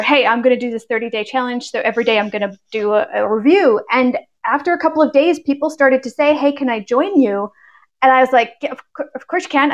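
Higher-pitched woman, chatty podcast guest. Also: highs cut off. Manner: chatty, informal storytelling with quoted asides. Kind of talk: casual conversational interview answers.

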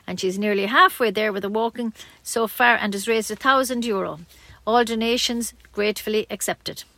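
A woman's voice: mid-range voice, a bit bright, no echo. A woman with an Irish accent reading scripted local news as a community radio newsreader.

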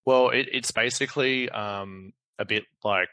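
A man with a croaky voice from a cold, explaining in a halting, casual way with many restarts. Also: medium pitch, pushed and bright, no room sound.

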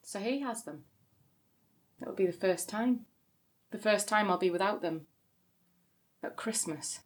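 A woman's voice: mid-range voice, unhurried, natural voice. An actress playing a relentlessly positive mother, in a naturalistic audio comedy drama. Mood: flat, resigned. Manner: encouraging, placating. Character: relentlessly positive sister, a mother of young boys.

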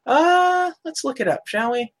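A woman co-hosting a casual chat about old cartoons, chatty and quick to laugh, looking things up as she talks. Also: high voice, animated. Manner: chatty, laughs often, looks things up aloud. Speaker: podcast co-host.

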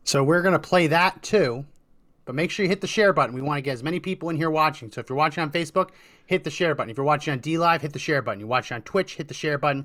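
A man's voice: on the high side, rapid-fire, a bit bright, no echo, clean studio sound. A man hosting a talk show, chatting casually, teasing his co-host and laughing often.

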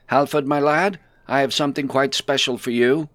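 A man's voice: medium pitch, lively intonation, moderate pace, no room sound, pitched above the performer's own voice, natural voice. A man voicing a jovial old hobbit storyteller. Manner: jovial, hearty, warmly teasing. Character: cheerful older male hobbit storyteller.

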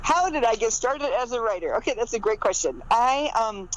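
A woman's voice: a little high, expressive, a little fast, poor audio. A middle-aged American woman from the Midwest, an author being interviewed, telling stories casually and chattily, often interrupting herself. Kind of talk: casual conversational storytelling. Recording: noticeable background noise.

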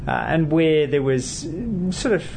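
A man, a politician answering interview questions in a measured way with frequent hesitant fillers. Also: a little high, gravelly, noisy background.

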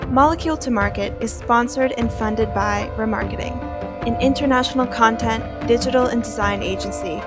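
Woman, scripted announcer, fairly high pitch, loud music bed, promotional read. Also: fairly quick. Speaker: podcast announcer.

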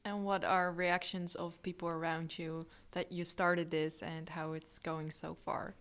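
A woman host puts friendly, encouraging questions to her guest for the listeners. Her voice sits slightly low and stays steady, with little rise and fall. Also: measured pace, smooth. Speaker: interviewer, podcast host. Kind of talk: interview questions.

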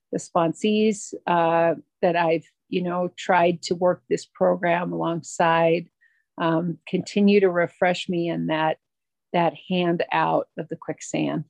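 Woman, middle-aged, recovery meeting share, halting, reflective, gravelly voice, dry sound. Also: lowish voice, a little mellow, no echo. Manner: halting, reflective, many fillers and restarts. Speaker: twelve-step meeting speaker.

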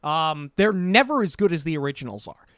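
Male podcast co-host, slightly high, opinionated and rambling, dry booth sound. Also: big pitch swings, fairly quick, a bit bright, no echo. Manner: rambling, self-correcting, opinionated. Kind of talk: casual movie review chat.

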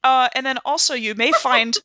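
A woman's voice: high-pitched, normal speed, sharp tone, no echo, studio quality. A woman improvising in character as a player in a tabletop roleplay podcast, wry and wordy with hesitant turns. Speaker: player in an actual-play audio drama podcast.

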